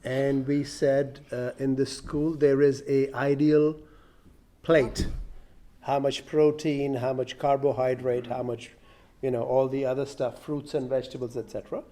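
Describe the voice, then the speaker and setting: A man's voice: medium pitch, flat delivery, a little slow, dark tone. A man explaining a plan informally to a group. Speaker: school caterer.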